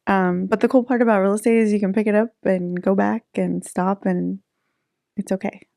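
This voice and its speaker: A woman's voice: medium pitch, steady tone, normal speed, vocal fry, warm tone, no room sound, clean studio sound. A woman in her thirties, a real estate agent chatting casually in an interview, laughing easily between fillers. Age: 30s.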